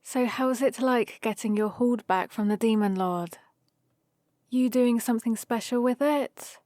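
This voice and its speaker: A woman plays a young adventuring heroine in an ASMR roleplay. She speaks slowly and intimately, close and dry on the mic, with a fond, playful, teasing manner toward her companion. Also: fairly high pitch, darkish tone, no echo, close to an everyday voice. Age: young adult. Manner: teasing, unhurried, intimate one-sided conversation. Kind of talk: ASMR roleplay acting, addressed to the listener. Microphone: close studio-style microphone, dry.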